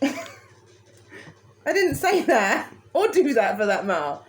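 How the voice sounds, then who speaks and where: A woman's voice: fairly high pitch, very animated, slow pace, a little hiss or hum. A woman co-hosting a casual chat, giggling and defending herself. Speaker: co-host.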